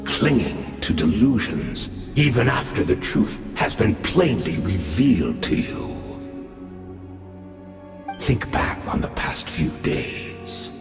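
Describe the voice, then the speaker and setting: Gravelly sinister game villain, lowish, sneering and theatrical, echoey with loud music behind. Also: male voice, sing-song, full character voice. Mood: mocking, scornful. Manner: slow, theatrical, mocking. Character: sinister male Abyss villain, contemptuous and grandiose. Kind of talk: video game voice acting. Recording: echoing voice under loud music.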